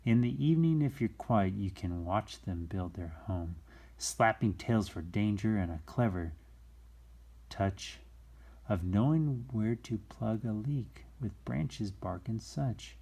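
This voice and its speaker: A man hosting a children's story time, reading aloud in a playful, expressive way with chatty, folksy asides and shifts between hushed and exclaimed delivery. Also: lowish voice, slow, creaky, husky. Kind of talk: animated read-aloud with casual asides to young viewers.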